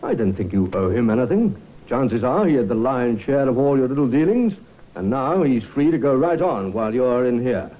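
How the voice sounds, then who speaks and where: An actor playing a confident police inspector, polite but pressing, in an old time radio drama. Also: male voice, medium pitch, big pitch swings, normal speed. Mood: coaxing, pressing. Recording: thin phone-like old radio recording.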